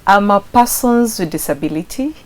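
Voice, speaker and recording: A young adult woman with a Kenyan coastal accent, talking in a chatty, animated way as an interview guest. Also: medium pitch, normal speed. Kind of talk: casual interview.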